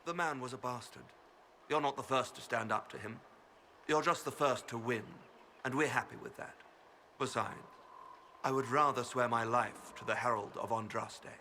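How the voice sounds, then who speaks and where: A man's voice: mid-range voice, moderate pace, bright, forceful tone, a little hiss or hum. A man playing a loyal mercenary fighter in a video game, deferential but plain-spoken. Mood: frank, loyal.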